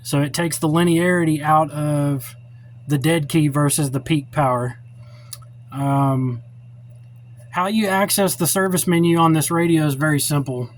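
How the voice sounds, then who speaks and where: A man's voice: fairly high pitch, measured pace. A middle-aged man giving an informal, unscripted how-to demonstration in a blunt, opinionated, plainspoken way. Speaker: radio hobbyist giving a tutorial. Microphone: cell phone held or set up while filming. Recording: noisy cell phone recording.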